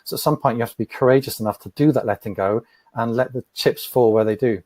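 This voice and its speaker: A man answering interview questions as an entrepreneur, thoughtful and measured, often repeating a word as he starts. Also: medium pitch, darkish tone, no room sound. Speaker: podcast guest, entrepreneur.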